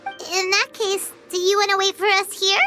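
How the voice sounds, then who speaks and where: A woman voicing a small, excitable, childlike fairy companion in a video game, with a chirpy manner. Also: very high voice, normal speed. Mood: concerned. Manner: chirpy, talks of self in third person. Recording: loud music under the voice.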